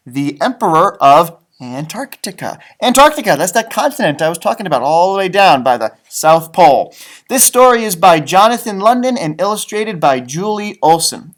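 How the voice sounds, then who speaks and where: A man with a lively, swooping delivery hosts a children's storybook read-aloud. His voice sits fairly high for a man, and he talks like a teacher, exaggerating and repeating phrases for young listeners.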